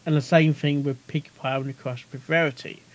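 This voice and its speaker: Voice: medium pitch, steady tone, quick pace, low-quality recording. A reaction video host giving casual commentary, rambling, giggly and often repeating phrases.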